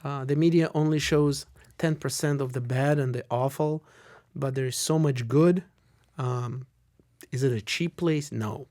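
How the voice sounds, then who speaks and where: A man's voice: medium pitch, unhurried, darkish tone, no room sound, clean studio sound. Emphatic middle-aged male guest, native Russian speaker. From Russia and Israel. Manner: opinionated, emphatic repetition of phrases. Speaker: interview guest, lawyer. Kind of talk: conversational interview answers.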